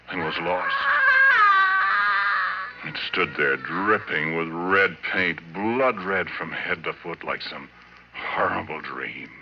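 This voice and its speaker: A middle-aged roughneck haunted by what he found, confessing it with brooding obsession. The voice is very deep, the delivery halting and close. It comes from a vintage radio drama, dulled and slightly noisy. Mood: horrified awe. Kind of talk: 1940s radio drama monologue. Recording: muffled, noisy old radio recording.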